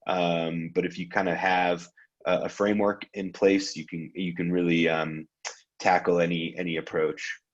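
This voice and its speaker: A man from Iran giving a casual presentation on a video call, relaxed and loose, with frequent ums and restarts. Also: low voice, normal speed, muffled recording. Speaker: guest speaker, venture capitalist.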